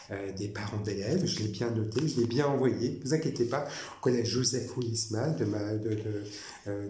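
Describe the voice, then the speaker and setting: A man from France talking in a rambling personal monologue, halting, with many fillers and restarts, repeating himself. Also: medium pitch, a little mellow. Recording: echoey room with faint noise.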